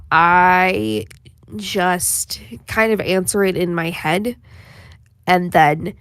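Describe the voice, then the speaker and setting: A woman's voice: fairly low pitch, fairly bright tone, no echo, clean studio sound. A woman chatting informally as a panelist, with hesitant starts to her thoughts. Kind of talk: casual chat.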